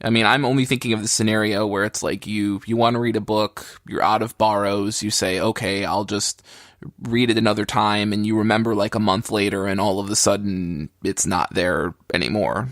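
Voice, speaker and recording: Man, fairly low voice, dry studio-like sound, wry, conversational podcast chat. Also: steady tone, moderate pace, fairly bright tone, dry recording. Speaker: podcast co-host.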